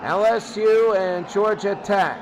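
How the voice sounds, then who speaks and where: A man hosting a sports show, giving off-the-cuff football score commentary in clipped fragments with pauses. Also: high voice. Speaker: sports studio host. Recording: clearly noisy background.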